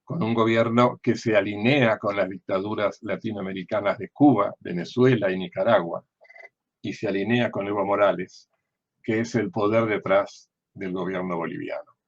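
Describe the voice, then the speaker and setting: An older man with an Argentine accent giving political commentary in a deliberate, didactic way, often posing questions and answering them himself. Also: fairly low pitch, fairly even intonation, dull audio. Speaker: former diplomat and political analyst.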